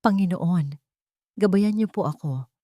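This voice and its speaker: A woman's voice: lowish voice, unhurried, warm tone, no room sound, close to an everyday voice. Woman as exhausted young housemaid, audio story, despairing, trembling, weak, dry sound. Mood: praying.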